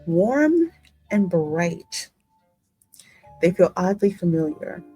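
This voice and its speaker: A woman's voice: on the low side, very animated, warm tone, slightly rough, low-quality recording. A woman hosting a gaming channel, narrating a story game and adding her own spoken asides. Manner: reads narration slowly, reacting aloud in asides. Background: music behind the voice.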